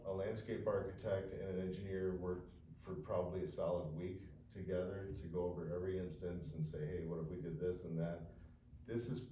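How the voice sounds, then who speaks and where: A man's voice: low voice, warm tone. A man presenting a development plan at a public meeting, talking in a rambling way with many fillers and restarts. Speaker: developer's representative. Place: township meeting room. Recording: echoey room, distant mic, faint hiss.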